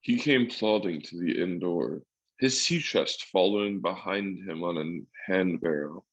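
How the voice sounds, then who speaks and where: Male storyteller, acted, very low voice, literary and solemn radio drama narration, lo-fi recording. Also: unhurried. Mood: descriptive. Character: grown man solemnly recalling his boyhood adventure. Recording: dull lo-fi audio.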